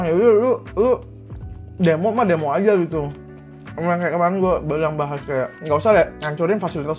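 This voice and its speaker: A young man from Indonesia, ranting angrily to camera as a vlogger, blunt and swearing often. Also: high-pitched. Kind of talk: heated commentary to camera.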